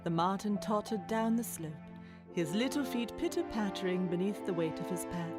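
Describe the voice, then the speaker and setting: A woman reading scripted storybook narration in a measured, expressive storytelling manner. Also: mid-range voice, music in the background.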